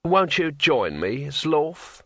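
A man voicing a calm, soft-spoken male thief master who speaks levelly and slowly in a storybook reading. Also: mid-range voice, no echo, clean studio sound. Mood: quietly menacing. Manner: quiet, level, slow.

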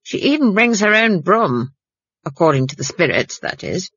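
A shrewd old witch with a husky, slightly raised voice and lively swings of pitch, as a woman narrator puts her on. She is brisk, grim and commanding. The sound is dry and dull. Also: dry recording, exaggerated voice.

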